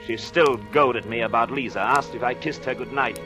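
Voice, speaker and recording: An actor playing a scheming married doctor in a 1940s radio thriller, intense and deliberate in his dramatic delivery. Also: mid-range voice, over music, close to an everyday voice. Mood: brooding, tense. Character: scheming married doctor coldly plotting his wife's murder. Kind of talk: 1940s radio thriller acting with first-person narration.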